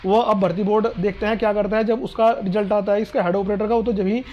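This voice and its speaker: A North Indian man talks quickly in a high voice that stays on a narrow, even pitch. He explains things informally to students, repeating himself and reassuring them as an online exam-advice host would. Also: a little hiss or hum. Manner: repetitive, reassuring, asks and answers own questions.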